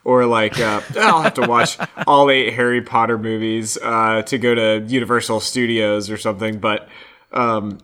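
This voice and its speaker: A man with a fairly low voice chats as a podcast co-host. He talks informally, with a wry, joking streak running through his casual delivery. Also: steady tone, normal speed, sharp tone. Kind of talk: casual chat.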